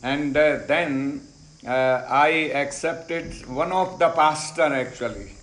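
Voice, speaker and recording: A middle-aged Indian man whose first language is Hindi, telling his faith story slowly and deliberately, pausing on many "uh"s and repeating phrases. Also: on the high side, a bit bright, roomy sound. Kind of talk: unhurried personal testimony. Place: ashram in India.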